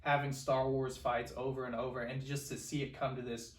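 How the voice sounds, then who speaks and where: A man's voice: medium pitch, level tone, fairly quick. Echoey, distant young man, earnest podcaster. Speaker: film podcast co-host. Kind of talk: casual film discussion. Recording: roomy echo, distant from microphone.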